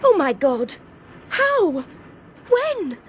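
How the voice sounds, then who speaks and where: Headstrong young English woman, high voice, swooping intonation, urgent, emphatic, radio drama. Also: sing-song, drawn-out words, a little hiss or hum, full character voice. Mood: excited, alarmed. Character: earnest, headstrong young Englishwoman, insistent and agitated. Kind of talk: British radio drama acting.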